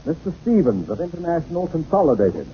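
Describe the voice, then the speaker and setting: Blustery, high-voiced older boss. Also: male voice, sing-song, fast talker. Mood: hearty, blustery. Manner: hearty, blustering. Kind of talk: 1940s-50s radio comedy acting. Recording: muffled, noisy old radio recording.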